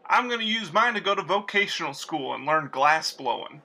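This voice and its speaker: A man's voice: fairly high pitch, lively intonation, a little fast, vocal fry, sharp tone, exaggerated voice. A man playing a brash, shifty young war veteran in old-time radio sketch comedy, eager and defensive. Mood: eager, boastful.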